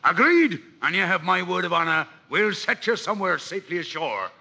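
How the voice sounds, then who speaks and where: An actor playing a sly, blustering one-legged captain in an audio drama, with a raspy, gravelly voice. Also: male voice, sits high, sing-song, fairly quick, bright, forceful tone, exaggerated voice. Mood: sly, bargaining. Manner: drawling, blustering, wheedling. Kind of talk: audio drama acting on a record.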